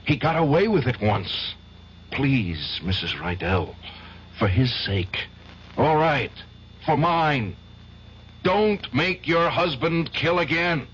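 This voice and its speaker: A worried defense attorney in a vintage radio mystery, earnest and pressing. The sound is muffled, with a soft hiss beneath it. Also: male voice, fairly high pitch, lively intonation, slow pace. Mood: urgent. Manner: weary, then urgent and pleading. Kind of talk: 1970s radio drama acting. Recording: dull old radio recording, faint hiss.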